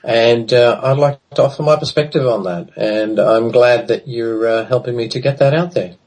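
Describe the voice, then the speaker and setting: A man in his fifties speaks in a husky, slightly breathy voice at an ordinary pitch. He talks reflectively, pausing often with ums and restarting his sentences. It sounds like a relaxed remote interview. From Australia and the Netherlands. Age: 50s. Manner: hesitant, many ums and restarts. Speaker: interview guest. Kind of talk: casual recorded interview.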